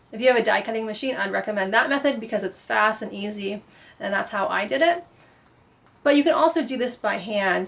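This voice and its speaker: A woman with a gravelly, fry-heavy voice, still getting over a cold. She explains a paper craft one step at a time in a plain, patient way, like a blogger filming her own tutorial. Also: mid-range voice.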